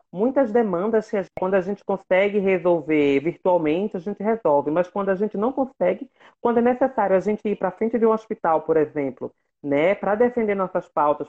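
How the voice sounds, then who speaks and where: Guest on a live, impassioned, repeats for emphasis, medium pitch, dull call audio. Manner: impassioned, repeats phrases for emphasis, many fillers. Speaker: guest, works at a state legislative assembly. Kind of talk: casual online conversation.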